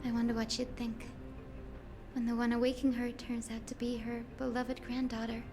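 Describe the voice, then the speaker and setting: Woman acting a high-voiced, gentle young heroine. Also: completely flat delivery, moderate pace, natural voice. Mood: earnest, soft. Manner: gentle, earnest, poetic. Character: gentle, earnest young granddaughter, kind-hearted fantasy heroine. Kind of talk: dramatic fantasy game voice acting. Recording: faint music behind the voice.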